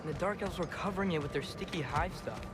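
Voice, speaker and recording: Young male actor as earnest teen hero for fantasy game: lightish pitch, casual, inquisitive, score underneath. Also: quick pace, natural voice. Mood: matter-of-fact. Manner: casual, modern phrasing. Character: curious, earnest teenage boy adventurer. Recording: music under the voice.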